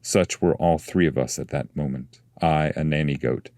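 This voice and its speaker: A man reading epic poetry as a scripted narration, in a measured, solemn way. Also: very deep voice, darkish tone, no room sound.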